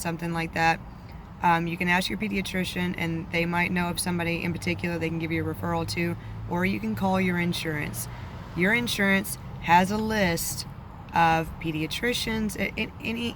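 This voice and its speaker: Woman, allergy-rough voice, flat even delivery, chatty vlog with faint street noise behind. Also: fairly low pitch. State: voice a bit off from allergies. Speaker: vlogger, parent. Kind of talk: casual advice vlog.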